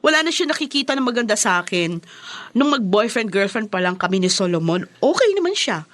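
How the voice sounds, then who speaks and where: A woman's voice: medium pitch, sing-song, brisk, fairly bright tone. A woman acting in a radio drama as a hurt, reproachful young wife who complains.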